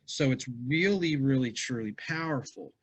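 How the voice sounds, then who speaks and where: Man hosting a talk show, husky voice, upbeat and chatty. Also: medium pitch, animated, moderate pace. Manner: upbeat, warm greetings, rambling questions. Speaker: host. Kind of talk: casual interview hosting. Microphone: video call.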